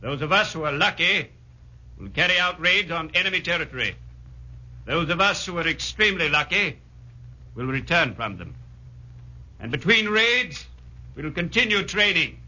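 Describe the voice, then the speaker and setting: An actor playing a stern colonel making a formal, declamatory speech to commandos in a 1940s radio drama. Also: male voice, on the high side, animated, normal speed, long pauses, bright, forceful tone, exaggerated voice. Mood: solemn, rousing. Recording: muffled 1940s radio broadcast.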